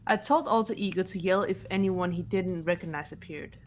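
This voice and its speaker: A woman's voice: lowish voice, fairly quick, faint music behind, natural voice. Woman acting composed, serious student sleuth. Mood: serious, calm. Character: composed, serious young female student detective. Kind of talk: amateur fully voiced game playthrough.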